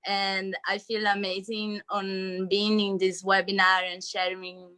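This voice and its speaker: A woman introducing herself on an online panel in a soft, hesitant way, with many pauses. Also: mid-range voice, flat delivery, dry recording. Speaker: panel speaker.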